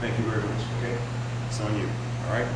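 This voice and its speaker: An older man, a martial arts teacher, speaking in a relaxed, rambling way to a workshop group. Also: fairly low pitch, fast talker. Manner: relaxed, rambling, warmly introducing. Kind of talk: workshop talk to students. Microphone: far from the mic. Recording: echoey room from far off, hum.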